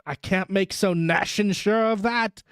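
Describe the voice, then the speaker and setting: A reader voicing a gruff, suspicious armed coach guard, a man with rustic speech, in a storybook reading. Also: medium pitch, unhurried, a bit bright, no echo, studio quality. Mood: grumbling. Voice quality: gruff, growling. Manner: barking challenges, rustic dialect.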